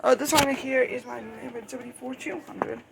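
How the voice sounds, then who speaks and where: Hobbyist vlogger with a high-pitched, gravelly-edged voice; informal, meandering, stop-start delivery, correcting along the way. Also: steady tone. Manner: rambling, halting, self-correcting. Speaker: hobbyist video creator. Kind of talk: casual unscripted show-and-tell of weather radios. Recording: noisy amateur home recording.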